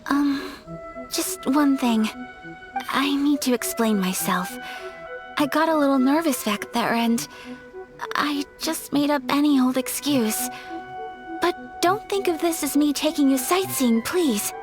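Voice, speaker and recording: An actress playing a polite, reserved young noblewoman in a video game, formal, modest and soft-spoken. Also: high-pitched, slow pace, music in the background. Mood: gentle, polite.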